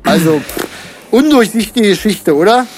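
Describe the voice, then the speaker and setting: An actor playing an opinionated, teasing choir enthusiast who muses in mock-learned fashion in a German radio play. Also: male voice, high voice, animated, normal speed. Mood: musing. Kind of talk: conversational scripted radio-play dialogue in German. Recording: music bed behind the voice.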